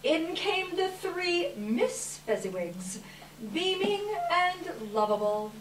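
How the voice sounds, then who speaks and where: Female narrator, unhurried expressive readers theater delivery, roomy far-off recording with faint noise. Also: very high voice. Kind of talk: dramatic scripted storytelling, live stage reading. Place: live event room, distant microphone.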